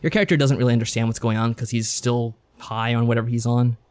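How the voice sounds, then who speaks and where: A man with a voice of middling pitch talks casually as a podcast co-host. He recaps a story in a loose, rambling way, with wry asides along the way. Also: fairly even intonation, fast. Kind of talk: casual chat recapping a visual novel.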